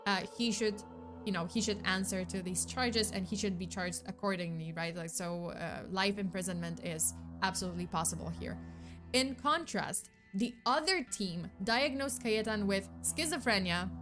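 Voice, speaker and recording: A young woman tells a true crime case in loose, rambling chat, full of likes, uhs and self-corrections, with a casual swear here and there. Her voice is tired and fraying from long talking, and music plays clearly underneath. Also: mid-range voice, moderate pace. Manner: chatty, lots of fillers and restarts, swears casually. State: losing her voice. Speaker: true crime vtuber host. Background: background music.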